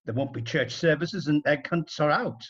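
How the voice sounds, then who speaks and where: Man, husky voice, expressive rhyming narration, storybook style, deliberate phrasing, slightly dull recording. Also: a little high.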